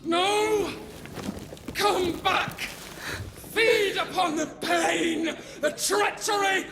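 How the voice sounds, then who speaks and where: A woman's voice: very high voice, fairly even intonation, drawn-out words, gravelly, noisy background, exaggerated voice. An actress playing a shattered, vengeful female spirit villain in a video game, ominous and imperious. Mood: desperate rage. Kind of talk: dramatic video game acting.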